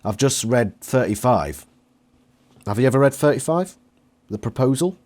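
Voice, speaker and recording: A man's voice: medium pitch, expressive, normal speed, darkish tone. A man talking casually as an interviewer and reviewer in a fan discussion.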